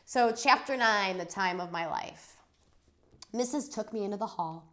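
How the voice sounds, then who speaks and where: A woman teacher giving an expressive read-aloud of a children's story, acting out the dialogue. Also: medium pitch, a little slow. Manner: animated, dramatizes dialogue. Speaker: teacher reading a chapter aloud for students.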